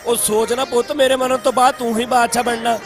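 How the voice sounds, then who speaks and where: A man's voice: very high-pitched, little pitch movement, quick pace. A man voicing a bossy, mocking king in a comic cartoon dub, with a Punjabi accent. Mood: fond, teasing. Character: blustering, mocking king, fond of threats and insults. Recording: music behind the voice. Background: music.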